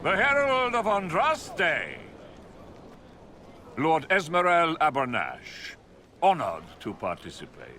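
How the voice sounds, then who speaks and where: An actor playing a pompous, condescending noble envoy in a video game, speaking theatrically. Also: male voice, fairly high pitch, huge pitch swings, slow, pauses often, bright, forceful tone, slight background noise, heavily acted. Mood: grand. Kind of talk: video game dialogue acting.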